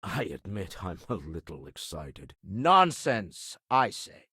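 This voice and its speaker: An actor playing a wealthy, urbane older businessman explorer in a video game, speaking in a refined, measured way with dry wit. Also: low voice, big pitch swings, bright, forceful tone, breathy edge, no room sound, full character voice. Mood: earnest. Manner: refined, measured, dryly witty phrasing. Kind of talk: video game character dialogue.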